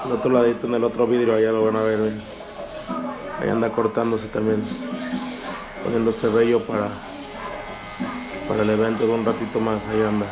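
A man's voice: on the low side, monotone, fairly quick, long pauses, highs cut off. A young man, casually narrating a travel vlog to his viewers in an upbeat, laughing way. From Mexico. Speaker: vlogger. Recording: music playing loudly behind.

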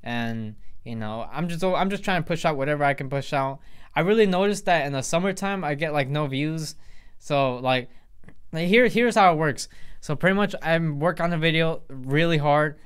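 Rambling teen guy with a smooth voice. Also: a little high, moderate pace. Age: teens. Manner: rambling, full of fillers and restarts. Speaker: vlogger. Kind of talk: casual storytime vlog. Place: indoors in front of a plain grey backdrop.

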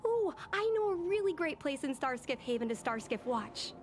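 A woman's voice: high voice, sing-song, moderate pace. A woman voicing an earnest, pleading young fox-eared daughter in an anime-style video game. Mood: wistful, friendly. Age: young adult.

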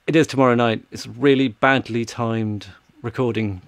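A man's voice: mid-range voice, fairly even intonation, moderate pace, vocal fry, dry recording. A man in his thirties explaining things in a casual tech chat, speaking into a close mic in a small room. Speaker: podcast panellist. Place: small home room with shelves.

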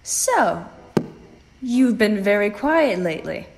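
A woman playing a composed phantom in a sci-fi audio drama, reasoning in a measured, pointed and persuasive way. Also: medium pitch, big pitch swings, soft background music. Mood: probing.

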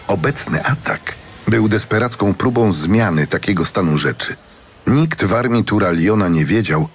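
A man narrating a dramatic fantasy audiobook from a script in a measured, solemn manner. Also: deep voice, slightly rough.

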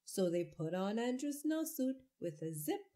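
A woman reading a children's picture book aloud, with deliberate, expressive delivery. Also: fairly high pitch. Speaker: children's story reader. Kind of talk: read-aloud storybook narration.

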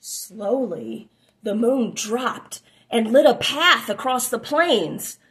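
Slow, highly expressive woman storyteller. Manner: dramatic, drawn-out phrasing. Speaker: storyteller reading a picture book aloud. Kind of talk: expressive children's read-aloud with asides. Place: small room at home, indoors.

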